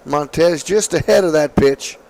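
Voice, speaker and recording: A man calling softball play-by-play, his voice slightly raspy from allergies, with calm, measured calls. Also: fairly high pitch, rough recording. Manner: calm, measured calls, excited on big hits. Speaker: play-by-play announcer.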